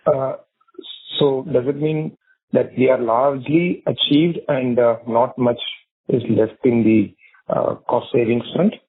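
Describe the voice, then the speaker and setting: Man, mid-pitched, politely halting analyst questioning over a narrowband phone connection. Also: fairly even intonation, breathy edge. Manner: polite, halting with repeated words. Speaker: financial analyst asking questions. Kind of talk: earnings call questions. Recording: tinny narrowband phone line.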